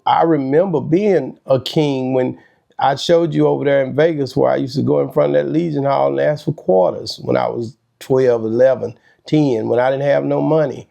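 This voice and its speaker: A man's voice: a little high, gravelly, warm tone. A man hosting a talk show with casual, conversational commentary.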